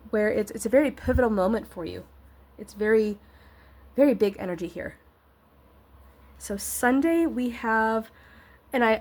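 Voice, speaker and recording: A woman with a fairly high voice talks through a tarot reading in a casual, supportive way. She sounds a bit scratchy and nasally congested, as if getting over an illness. Her delivery wanders as she hunts for the right words. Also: a little mellow. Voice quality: slightly scratchy. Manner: rambling, searching for words, encouraging. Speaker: tarot reader and host.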